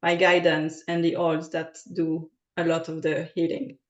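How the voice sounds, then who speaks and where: A woman's voice: low-pitched, monotone, measured pace, muffled recording. A French woman with a French accent, answering interview questions in a hesitant way, with many fillers. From France. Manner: hesitant, many ums and uhs. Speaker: podcast guest, aromatherapist.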